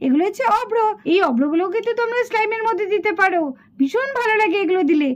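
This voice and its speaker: A woman's voice: very high-pitched, expressive, rapid-fire. A woman voicing an instructive schoolteacher doll in a children's puppet cartoon. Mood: cheerful, instructive. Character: female schoolteacher doll running a contest. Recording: dull lo-fi sound.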